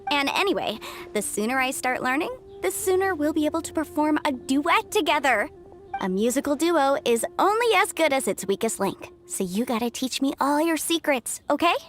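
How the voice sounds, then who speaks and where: A woman's voice: high-pitched, big pitch swings, normal speed, soft background music. A woman voicing an eager young granddaughter in a video game, sweet and coaxing. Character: eager young granddaughter, keen music pupil.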